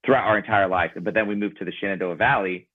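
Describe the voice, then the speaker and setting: A man's voice: fairly low pitch, fast, no room sound. A man whose first language is Ukrainian, talking casually as an interview guest about his band, thoughtful and rambling with fillers. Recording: tinny phone-like line.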